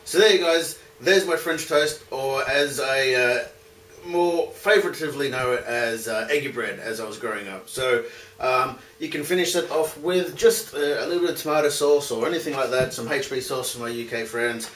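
A man's voice: mid-range voice, normal speed, a bit bright. A man in his thirties giving an informal, chatty cooking tutorial as the host, talking directly to viewers. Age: 30s. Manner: informal, addresses viewers as guys. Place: home kitchen, indoors. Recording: clearly noisy home kitchen recording.

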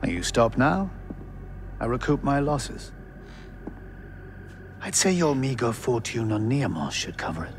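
Haughty middle-aged imperial spymaster. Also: male voice, medium pitch, lively intonation, slight background noise. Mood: cold threat. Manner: haughty, deliberate. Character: proud, ruthless middle-aged male imperial spy director. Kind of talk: cinematic video game acting.

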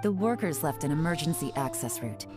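A composed female spymaster from a game. Her voice sits low and steady, and every order comes out dry and unhurried. Music runs behind her. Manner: calm, dry and commanding.

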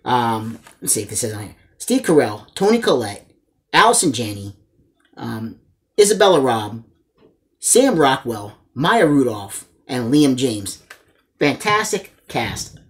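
A man's voice: mid-range voice, very animated, unhurried. Man in his forties, mid-range voice, casual vlog host, enthusiastic, chatty show-and-tell delivery. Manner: enthusiastic, addresses viewers as guys. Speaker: host of a movie collecting channel. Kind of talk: casual chat showing a haul to camera. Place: small indoor room lined with disc shelves.

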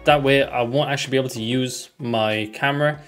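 A man's voice: mid-range voice, steady tone, fairly quick. Young man narrating a tutorial over music. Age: young adult. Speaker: tutorial host. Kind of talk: casual software tutorial narration. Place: small room at home, indoors. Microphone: desk microphone close in front.

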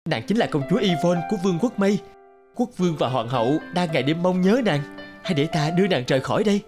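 A man's voice: high voice, lively intonation, quick pace, over music. A man voicing an earnest, polite young prince in a fairy-tale cartoon.

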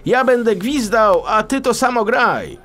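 A man's voice: very high-pitched, expressive, unhurried, a bit bright, slight background noise, pitched above the performer's own voice, exaggerated voice. A man voicing a plucky, bossy magical pike fish in a thin, exclaiming voice for a children's storybook. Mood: excited, commanding. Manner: singsong, exclaiming. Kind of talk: storybook audiobook character.

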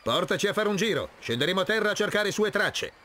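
Un attore che interpreta un eroe greco risoluto e autorevole, dal tono serio e deciso, in un filmato di videogioco. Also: male voice, high voice, animated, quick pace, pushed and bright, slight background noise. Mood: commanding. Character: resolute, commanding male Greek hero. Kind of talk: video game cutscene acting. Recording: music under the voice.

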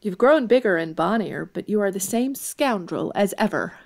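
A spirited young woman in a period audio drama, with a mid-pitched voice. She is blunt, teasing and exclamatory, yet deeply affectionate. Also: animated, normal speed, creaky. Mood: teasing, amused.

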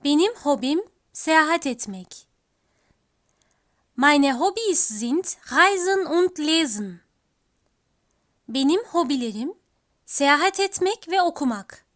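A woman teaching a language lesson, reading each phrase slowly twice and then giving its translation. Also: high voice, lively intonation. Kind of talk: slow, repeated language drill.

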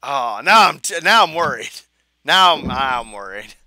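A young man commenting on a video game as he plays, joking and mocking himself, with a hoarse voice that he says is going. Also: mid-range voice, unhurried, dry recording. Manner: joking, self-mocking, animated. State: hoarse, losing his voice. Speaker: gaming channel host. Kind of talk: casual let's play commentary.

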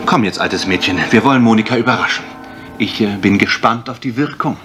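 An actor playing an urbane, teasing gentleman sleuth in a German radio crime drama, dry, ironic and probing. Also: lowish voice, fairly quick, music in the background, lightly acted. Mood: wry.

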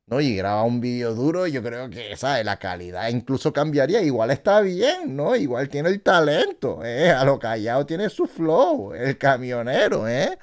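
A man, hosting a reaction video in casual, animated talk from a small indoor room on a studio mic, exclaiming, laughing and tagging his phrases with quick questions. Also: mid-range voice. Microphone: studio mic, wearing headphones in gaming chair.

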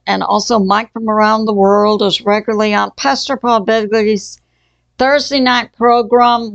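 A woman hosting her own channel, giving casual news commentary at a slow, halting pace with frequent fillers and restarts. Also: fairly high pitch, creaky, no room sound, muffled recording. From Texas. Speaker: channel host.

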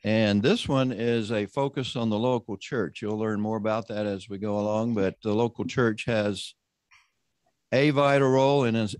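A man hosting an online roundtable, introducing panelists and leading a prayer in a measured, conversational way. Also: lowish voice, a little mellow, dry recording. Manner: measured, conversational, often slowing down. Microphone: video call.

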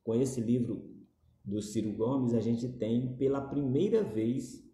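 Earnest Brazilian man, very dark voice. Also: medium pitch, slow, echoey room. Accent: Brazilian Portuguese. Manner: earnest, repetitive, gestures while talking. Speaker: political commentator. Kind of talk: direct-to-camera opinion talk. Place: small indoor room with plain wall. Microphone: phone camera at arm's length.

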